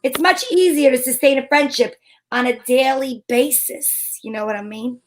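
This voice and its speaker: A woman's voice: high voice, moderate pace, natural voice. A woman playing a breezy, wisecracking private detective in an amateur old-time radio drama, wry and playful.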